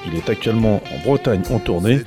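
A male radio presenter reads a prepared introduction with a lively, animated delivery. Clear guitar music plays behind his voice the whole time. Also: fairly low pitch, brisk. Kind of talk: scripted introduction over music.

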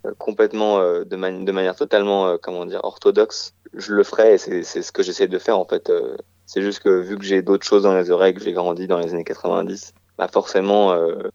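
A man's voice: sits low, level tone, brisk, creaky, warm tone, no echo. A man in his thirties, a musician answering radio interview questions in a hesitant way, full of fillers and restarts. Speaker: musician guest. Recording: slightly dull radio recording.

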